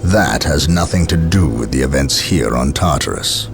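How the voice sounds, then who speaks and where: Game role: stern space marine captain, very low register, measured commanding speech, music underneath. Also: male voice, expressive, gravelly, heavily acted. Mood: indignant. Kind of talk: video game cutscene acting.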